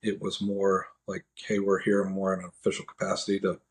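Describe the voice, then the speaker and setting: An American man as a podcast guest, giving a casual, measured and somewhat halting first-hand account. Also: low voice, completely flat delivery. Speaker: podcast guest, National Guard air traffic controller.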